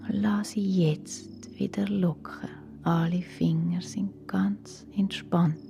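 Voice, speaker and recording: Very slow, hushed Swiss German woman. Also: on the low side. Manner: calm, soothing, hushed and breathy. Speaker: guided relaxation instructor for children. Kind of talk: slow guided yoga nidra meditation. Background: soft music.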